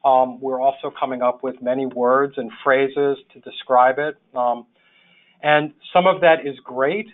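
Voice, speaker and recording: A man's voice: mid-range voice, steady tone, a little slow. Phone-line New York man of sixty. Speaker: webinar presenter, public health social worker. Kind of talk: informal slide presentation. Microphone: phone or webinar call. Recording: thin phone-line webinar audio.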